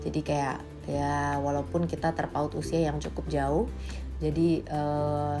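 A woman's voice: deep voice, little pitch movement, unhurried, music in the background. A woman in her late twenties from Indonesia giving a casual, candid monologue, blunt and slangy, with English phrases mixed in. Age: late 20s.

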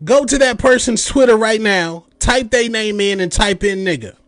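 A man's voice: high voice, moderate pace, fairly bright tone, dry recording, clean studio sound. A man in his late thirties hosting a podcast, delivering a heated, profane, mocking rant. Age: 30s. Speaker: podcast host. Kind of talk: ranting commentary.

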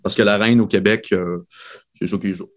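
Calm low-voiced male radio panelist. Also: normal speed.

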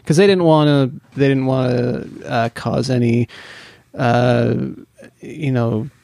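A man co-hosting a podcast, rambling and self-mocking in casual chat, with a low, mumbly speaking voice and many restarts. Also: fairly even intonation, takes it slowly, vocal fry, warm tone, no room sound. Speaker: podcast co-host.

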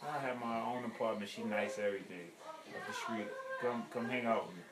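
A man's voice: lowish voice, brisk. A man in his thirties in casual chat as a podcast co-host, blunt and teasing, full of slang. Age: 30s. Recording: echoey room with crowd noise.

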